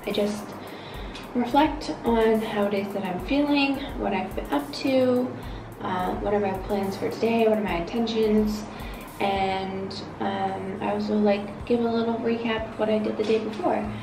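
A woman's voice: medium pitch, a little slow, distant mic, music in the background. Young woman vlogger, sleepy morning voice, casual chat, roomy balcony sound. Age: 20s. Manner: relaxed, rambling, many fillers. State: groggy, just woke up. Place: apartment balcony, outdoors.

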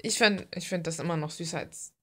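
Low-pitched young woman chatting casually. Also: fairly quick, pushed and bright, slight background noise. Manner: informal, playful, slangy. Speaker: hobby artist and video maker. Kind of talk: casual rambling vlog chat.

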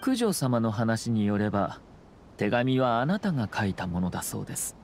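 An actor playing a courteous, composed young noble commissioner in an anime game, speaking in measured, formal politeness. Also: male voice, on the low side, very animated, a little mellow, slight background noise. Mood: gently probing. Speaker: government commissioner.

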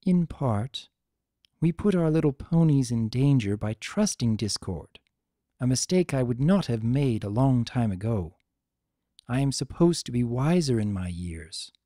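A man's voice: mid-range voice, lively intonation, a little slow, dark tone, no echo, clean studio sound, natural voice. A man voicing a wise, regretful elder pony princess in a dramatic story reading, grave, restrained and formal.

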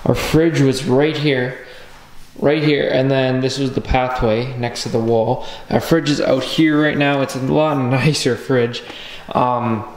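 A man's voice: medium pitch, a little hiss or hum. Rambling teenage guy, echoey empty house. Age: teens. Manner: rambling, excited, lots of "like" and "um". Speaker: vlogger. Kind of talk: casual house-tour vlog. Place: car, then empty house indoors. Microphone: handheld wide-angle camera at arm's length.

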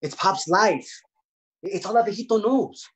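A man's voice: high-pitched, measured pace, fairly bright tone. A man acting a defensive, streetwise young guy in a comedy table read, stammering and repeating himself in slangy speech on a video call. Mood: flustered. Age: young adult. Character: defensive, blustering grown man, streetwise cousin. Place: home room on a video call. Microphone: laptop microphone.

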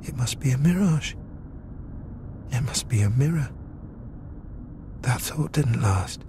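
A man reading a dramatic poem in clipped, intense fragments, his delivery often hushed. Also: mid-range voice, lively intonation, slow pace, noisy background. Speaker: reader. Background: music.

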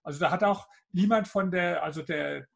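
Older hessian guy on a webcam call, gravelly and a bit husky, lots of ums while he argues his point. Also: high-pitched, moderate pace. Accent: Hessian German. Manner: hesitant, many fillers, argumentative. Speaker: guest author. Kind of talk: video call interview. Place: home office with bookshelves, indoors.

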